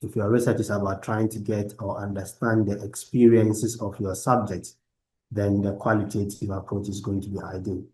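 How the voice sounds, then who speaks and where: A man lecturing online from his laptop, explaining research methods in a halting way with many restarts. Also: lowish voice, level tone, a little mellow, low-quality recording. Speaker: university lecturer. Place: at a laptop on a video call. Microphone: laptop microphone.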